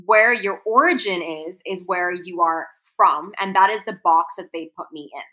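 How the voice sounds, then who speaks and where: A woman's voice: on the low side, normal speed, sharp tone. A woman from Singapore with an American accent, sharing personal stories in an interview in an earnest, articulate way. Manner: articulate, earnest, lots of "right?" and "um". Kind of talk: reflective personal storytelling.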